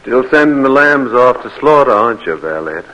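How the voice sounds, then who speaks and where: An actor playing a bitter, cynical Southern cousin in a 1940s radio drama, speaking in a sneering, accusing way. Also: male voice, medium pitch, big pitch swings, moderate pace, pushed and bright, low-quality recording.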